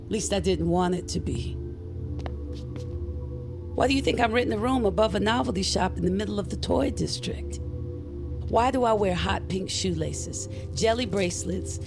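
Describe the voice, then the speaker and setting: An actress playing a guarded, earnest middle-aged witch on the run from her crime family, confiding in an intimate audio drama with a gravelly voice. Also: mid-range voice, normal speed, lightly acted. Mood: bitter, earnest. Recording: music under the voice. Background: music.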